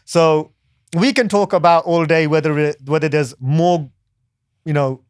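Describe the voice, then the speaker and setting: A man's voice: fairly high pitch, fairly even intonation, measured pace, clear, resonant tone, no echo, studio quality. A man talking as a guest in a casual discussion, often restarting and tagging his sentences with "yeah" and "right?". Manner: hesitant restarts, frequent "yeah" and "right?" tags.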